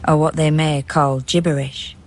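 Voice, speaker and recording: A woman narrating a poetic radio drama in a measured, hushed, deliberate way, as a grave observer of men sleeping rough. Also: low voice, fairly even intonation, lightly acted. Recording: faint background noise and ambience.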